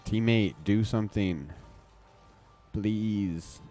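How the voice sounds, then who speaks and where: Low-voiced male streamer, loose and cursing through live play, soft music underneath. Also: drawn-out words. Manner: casual, swearing, reacting to play. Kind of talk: live gameplay commentary.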